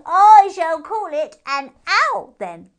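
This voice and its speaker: A woman voicing a mad, cheeky, self-important male hare in a children's storybook, speaking in drawn-out exclamations. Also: very high voice, expressive, pitched above the performer's own voice, heavily acted. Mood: stubborn, cheeky.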